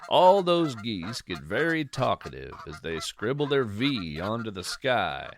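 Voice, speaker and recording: A man's voice: fairly low pitch, big pitch swings, a little slow. A man hosting a fiction podcast as a whimsical, folksy storyteller, musing conversationally close to the microphone. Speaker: podcast host and narrator.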